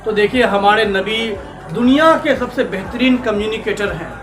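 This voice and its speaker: High-voiced male teacher in an echoey classroom. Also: moderate pace, music in the background. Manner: mixes English phrases into Hindi, asks students questions. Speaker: English teacher. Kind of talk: classroom lecture to students.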